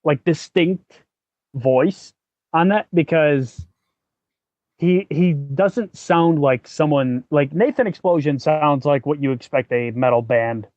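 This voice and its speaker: A man's voice: fairly high pitch, slow, a little mellow, dry recording. Casual male podcast host, dry dull audio. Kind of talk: casual podcast discussion of character voices.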